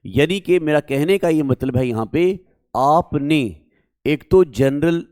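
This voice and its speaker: A male coaching teacher with a sore throat, speaking slowly and deliberately as he walks students through exam details in Hindi. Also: fairly high pitch, darkish tone, no room sound, clean studio sound. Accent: North Indian Hindi. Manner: slow, deliberate, addresses listeners as dear children. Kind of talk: exam information lesson to students.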